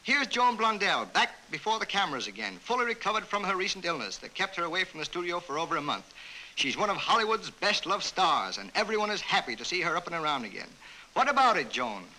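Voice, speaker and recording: A man's voice: sits high, very animated, fast, bright, forceful tone, a little hiss or hum, rough recording. An American man narrating a 1930s newsreel from a script in a jaunty, wisecracking manner, on a vintage soundtrack.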